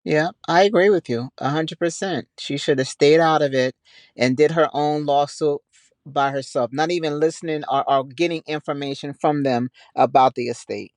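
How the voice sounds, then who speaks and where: A woman's voice: deep voice, normal speed, darkish tone, no echo. A woman with an American accent co-hosting a podcast, talking over her opinions in a casual, blunt and colloquial way. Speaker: podcast co-host.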